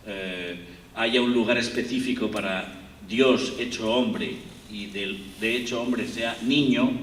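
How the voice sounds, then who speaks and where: A man's voice: on the low side, a bit bright. A man from Spain with a Spanish accent, giving a rambling university lecture with many fillers and restarts. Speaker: guest lecturer. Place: lecture hall. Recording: echoey hall, distant, faint room noise.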